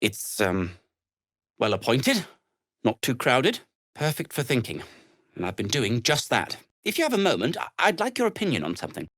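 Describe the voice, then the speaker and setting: An actor playing an earnest, self-deprecating gnome adventurer in a video game, hesitant and wry, with formal, wordy phrasing. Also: male voice, fairly high pitch, pushed and bright, no echo, clean studio sound, heavily acted. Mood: hesitant, grateful. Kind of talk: video game character dialogue.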